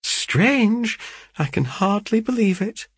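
A man voicing a doting, easily shocked middle-aged mother in an audiobook, gentle and genteel. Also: on the high side, very animated, takes it slowly, slightly rough, no echo, voice pushed up, heavily acted. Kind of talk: dramatised audiobook reading of a classic novel.

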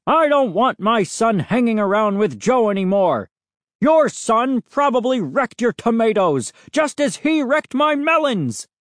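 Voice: medium pitch, sing-song, slow, a little mellow, dry recording, clean studio sound. A narrator voicing a big, blustering neighbour man in a storybook, menacing and indignant, bellowing and growling. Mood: indignant, enraged.

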